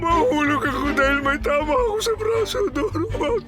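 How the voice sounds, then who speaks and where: A man's voice: very high-pitched, expressive, normal speed, over loud music. A male actor playing a terrified, guilty man who begs and stammers in a Filipino radio drama. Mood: desperate. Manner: pleading, stammering, frantic. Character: terrified, guilty man begging for his life.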